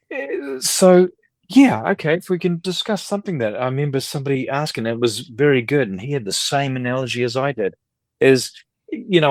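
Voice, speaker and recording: A man in his fifties talks at length as a podcast guest, rambling from one thought to the next with an upbeat energy. His pitch sits in an ordinary middle range, and the sound is dry and close with no room around it. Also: no room sound. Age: 50s. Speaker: guest, record collector and dealer. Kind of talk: casual interview chat.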